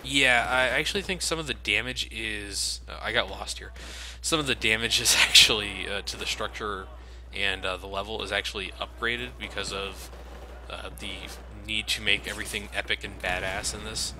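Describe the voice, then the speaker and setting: A man's voice: on the low side, steady tone, moderate pace, sharp tone, slight background noise. A man giving casual, sardonic, sweary commentary as he plays a video game. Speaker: video game let's player and host.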